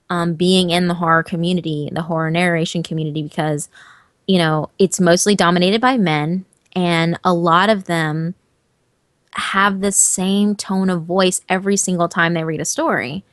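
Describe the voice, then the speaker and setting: A woman who narrates horror stories, chatting as an interview guest in an animated, emphatic way and swearing casually. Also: fairly low pitch, no echo, clean studio sound.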